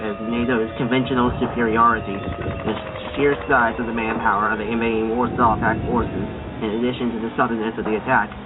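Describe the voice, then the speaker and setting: A man's voice: mid-range voice, narrow, tinny audio, close to an everyday voice. A man playing a war correspondent filing a news field report in a radio drama.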